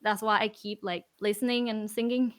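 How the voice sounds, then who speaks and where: A woman's voice: mid-range voice, steady tone, normal speed. A young woman with a Thai accent, hosting a casual podcast chat in a bubbly, laughing way. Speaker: podcast host.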